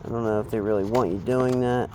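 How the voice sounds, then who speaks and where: A man giving a casual, unscripted hands-on tutorial, speaking haltingly and trailing off as he works with his hands. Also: lowish voice, fairly even intonation, darkish tone, slight background noise. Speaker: DIY installation demonstrator.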